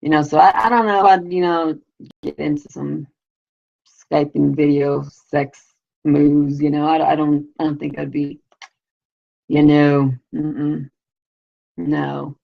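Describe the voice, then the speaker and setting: A woman with a low, raspy, heavily gravelly voice chats loosely on her own live show, rambling and crude, with "you know" in almost every phrase. The sound is dull and lo-fi. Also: flat delivery, slow pace, pauses often. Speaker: vlogger, show host. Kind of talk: casual live video chat.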